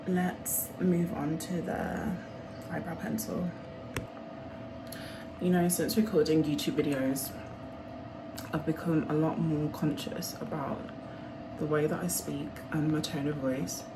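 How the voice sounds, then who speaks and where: Low-pitched young Brummie woman, noisy recording. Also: measured pace, pauses often. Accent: Birmingham. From Birmingham, England. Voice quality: slightly nasal. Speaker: vlogger. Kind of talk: casual chat to camera while getting ready. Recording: clearly noisy room background.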